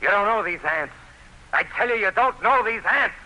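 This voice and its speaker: An actor playing an earnest district commissioner in an old-time radio drama, speaking with dramatic emphasis. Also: male voice, high-pitched, lively intonation, normal speed, bright, forceful tone, a little hiss or hum, exaggerated voice, low-quality recording. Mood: urgent, alarmed. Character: earnest, urgent male district commissioner warning of doom.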